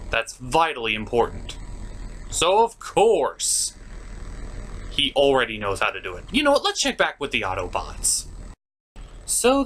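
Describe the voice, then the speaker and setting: A man's voice: medium pitch, a bit bright, slight background noise. A man narrating a scripted review in a sarcastic, mocking, sometimes profane manner. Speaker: cartoon reviewer, host.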